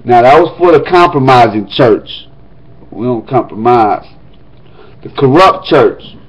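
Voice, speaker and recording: A man's voice: medium pitch, lively intonation, measured pace, highs cut off. A man reading the Bible aloud in an emphatic, declamatory manner, with casual touches. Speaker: scripture reader.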